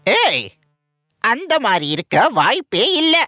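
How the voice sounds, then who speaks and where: A woman's voice: a little high, wildly swooping pitch, slow, sharp tone, heavily acted. A woman voicing a sly, goading villain eagle in a children's cartoon, theatrical and insistent. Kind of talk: Tamil children's cartoon dubbing.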